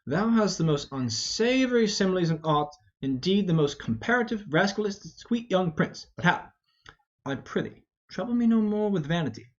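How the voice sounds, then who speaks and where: A man's voice: sits high, lively intonation, normal speed. A man voicing a blustering, roguish old knight in an amateur Shakespeare reading, full of mock-indignant rhetoric. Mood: mock-repentant. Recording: dull, lo-fi.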